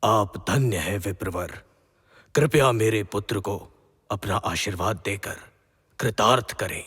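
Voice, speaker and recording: A man's voice: lowish voice, unhurried, a bit bright, breathy edge. A man playing a humble, courteous, reverent king in a formal mythological audio drama. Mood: reverent, grateful. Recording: long hall reverb.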